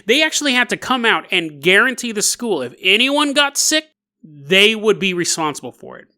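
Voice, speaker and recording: A man hosting a solo podcast, telling stories in a casual, jokey, animated way. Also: sits high, normal speed. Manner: animated, jokey, laughs at own asides. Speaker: podcast host. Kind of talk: casual comedic storytelling, solo podcast narration.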